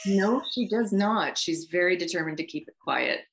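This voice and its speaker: A woman's voice: on the low side, expressive, normal speed. A woman, an actress speaking casually as an interview guest. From Ontario, Canada.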